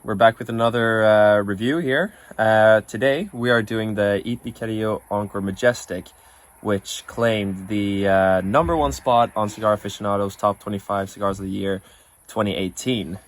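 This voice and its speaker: A young man co-hosting a cigar review show, chatting in a relaxed, hesitant way with many pauses. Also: on the low side. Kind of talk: casual review chat.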